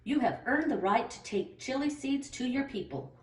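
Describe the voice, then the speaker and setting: A woman's voice: sits high, expressive, moderate pace, pitched above the performer's own voice. A woman voicing a welcoming, dignified old male clan chief in a children's storybook. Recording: roomy echo, distant microphone.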